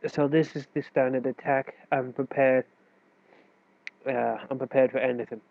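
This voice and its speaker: A man's voice: medium pitch, level tone, normal speed, vocal fry, dry recording. A man with a stuffy, nasal voice from a cold, explaining chess moves in a loose, self-correcting way. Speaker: chess teacher. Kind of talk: casual chess tutorial explanation. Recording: tinny, dry phone-like recording.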